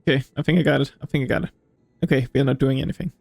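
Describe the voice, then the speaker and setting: A man giving casual gameplay commentary as a streamer, thinking aloud in a halting, puzzled way. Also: medium pitch, steady tone, vocal fry, a little mellow, husky. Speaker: gamer streamer.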